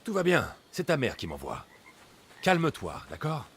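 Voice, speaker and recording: An actor playing a determined, protective ancient Greek mercenary in a video game. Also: male voice, a little high, huge pitch swings, moderate pace, a bit bright, a little hiss or hum. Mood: reassuring.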